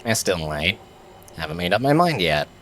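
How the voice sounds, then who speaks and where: A man's voice: on the low side, big pitch swings, normal speed, creaky, a bit bright, a little hiss or hum, exaggerated voice. A man playing a grumpy, sarcastic alien bug in a comedy audio drama, with dry, grudging wisecracks.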